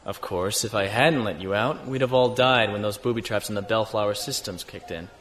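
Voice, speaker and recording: A man's voice: lowish voice, a little fast, fairly bright tone, slight background noise, close to an everyday voice. A man playing an easygoing fugitive starship captain in a science fiction audio drama, with a casual, understated delivery. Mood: wry, gentle. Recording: music bed behind the voice.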